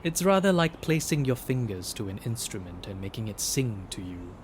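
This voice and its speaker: A man acting as a solemn guide in an audio drama, giving deliberate, hushed, poetic instructions. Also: lowish voice, very animated, background noise. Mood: hushed, contemplative. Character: solemn male guide instructing an archival recorder in ruins. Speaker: narrator guide. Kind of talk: scripted audio drama narration.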